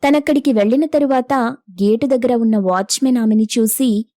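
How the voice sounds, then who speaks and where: A woman's voice: a little high, moderate pace, warm tone, dry recording, studio quality. A woman narrating a scripted moral story as the storyteller.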